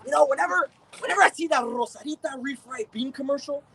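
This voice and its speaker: Actor doing a wry comic bit, very high voice, distant mic. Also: male voice, quick pace, vocal fry, sharp tone, full character voice. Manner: comic stand-up delivery. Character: joking man torn between two cultures. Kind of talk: comic stage monologue.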